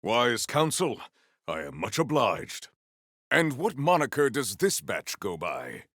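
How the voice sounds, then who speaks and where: An actor playing a proud, formal, honor-bound warrior in a video game, with archaic, theatrical diction. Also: male voice, mid-range voice, huge pitch swings, slow, fairly bright tone, dry recording, clean studio sound, exaggerated voice. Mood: courteous, measured.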